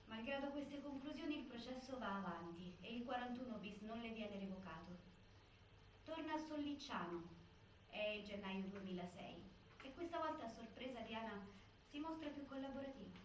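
An actress as a grave narrator, giving formal, factual scripted narration in a documentary-style drama. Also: female voice, on the high side, expressive, normal speed, natural voice. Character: grave female narrator of a prison case. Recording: echoey stage, far mic, faint noise.

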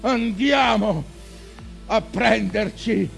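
An obsessed old whaling captain declaims his vengeance in theatrical monologue. His voice sits high with a gravelly creak, and he speaks slowly, in a dramatic stage manner. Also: faint music behind, exaggerated voice. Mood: commanding, frenzied. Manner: dramatic, declamatory, swinging from murmurs to cries.

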